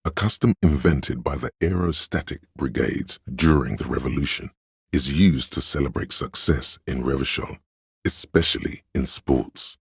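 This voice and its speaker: Casting: pedantic inner narrator for a game, husky bass, erudite and pompous, measured delivery, close dry sound. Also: male voice, no echo, exaggerated voice. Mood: lecturing, matter-of-fact. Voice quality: very deep, gravelly, husky. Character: pedantic know-it-all inner voice, a walking encyclopedia of trivia.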